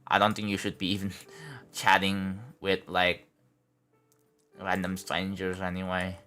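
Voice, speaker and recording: A man's voice: deep voice, monotone, unhurried, sharp tone, soft background music. A young man chatting casually on a live stream at home, rambling and laid-back, saying like and bro a lot. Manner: laid-back, rambling, many likes and bros. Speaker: livestreamer building a game level.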